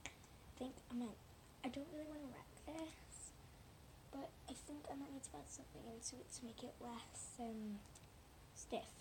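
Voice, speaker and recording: Hoarse, high-pitched woman losing her voice. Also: steady tone, normal speed. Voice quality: hoarse, breathy, often dropping out into whisper. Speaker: slime video maker talking to viewers. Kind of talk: casual hands-on demonstration chat to camera. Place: at home indoors. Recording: noisy amateur home recording.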